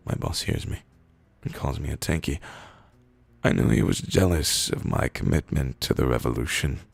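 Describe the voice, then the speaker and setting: A man with a hoarse, raspy, rumbly voice, reading stories aloud in an unhurried, drawn-out way with pauses. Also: lively intonation. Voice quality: raspy, rumbly, gravelly fry. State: hoarse from days of screaming. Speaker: narrator reading internet posts. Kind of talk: slow, low dramatic reading of short stories.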